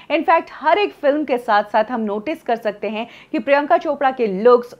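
Animated woman presenting celebrity gossip. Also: fairly high pitch, dull audio. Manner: lively storytelling delivery, mixing in English phrases. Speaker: entertainment news presenter.

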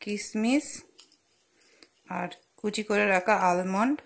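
A woman's voice: medium pitch, takes it slowly. Chatty woman cooking at home. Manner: chatty, explains each step with fillers and asides. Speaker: cooking channel host. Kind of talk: casual cooking demonstration voice-over. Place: home kitchen at a gas stove. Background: frying and sizzling from the pan.

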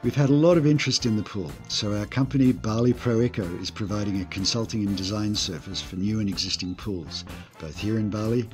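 A man who owns an eco lodge, narrating a scripted voice-over in a calm, measured, explanatory way. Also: on the low side, faint music behind.

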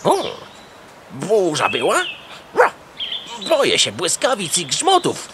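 Slow, swooping farmyard dog. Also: male voice, sits high, huge pitch swings, noisy background, exaggerated voice. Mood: naive, confused. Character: slow-witted, wary but shrewdly bargaining farmyard male dog. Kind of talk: children's audio drama acting.

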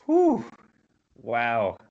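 A man's voice: mid-range voice, wildly swooping pitch, very slow, a little mellow, muffled recording. A man informally hosting a poetry reading over a video call from home.

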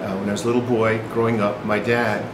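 A man's voice: lowish voice, level tone, quick pace. A man in his sixties from New York whose first language is Sicilian, reminiscing about family Christmases in an interview with many fillers and restarts. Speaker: interviewee, English professor. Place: indoors in a museum room. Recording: roomy echo with room noise.

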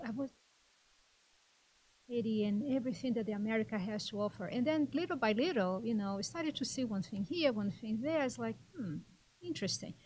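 A woman's voice: on the high side, poor audio. A woman from Brazil with a Brazilian Portuguese accent, a documentary co-creator talking earnestly and emotionally as a guest in a studio interview, on a desk microphone. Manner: earnest, emotional, talks in loose restarts. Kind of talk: casual interview chat. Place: small TV studio set. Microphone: desk microphone on a boom.

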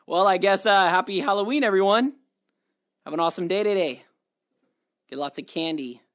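A man giving a loud, goofy, self-mocking casual outro to viewers. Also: high voice, normal speed. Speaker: voice actor.

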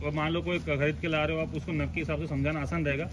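A man's voice: fairly high pitch, flat delivery, fast talker, background noise. A man interviewing someone casually, asking questions, summing up and agreeing with the answers. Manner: agreeable, often echoes and confirms the answers.

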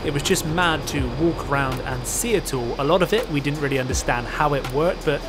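Young male travel vlogger, slightly high voice, upbeat and chatty, music loud underneath. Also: fairly quick. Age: young adult. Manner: upbeat, chatty tour-guide talk. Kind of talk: casual vlog narration. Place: outdoor deck of a cruise ship.

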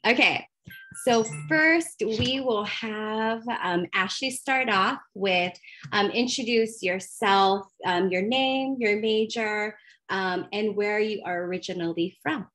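A woman's voice: medium pitch, slow, fairly bright tone, slight background noise. A woman moderating a student panel over a video call, friendly with many fillers.

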